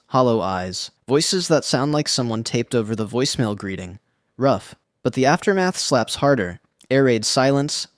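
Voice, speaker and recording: Male narrator, mid pitch, wry deadpan read, dry booth sound. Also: no echo. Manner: slangy, wry deadpan delivery. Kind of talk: scripted internet narration.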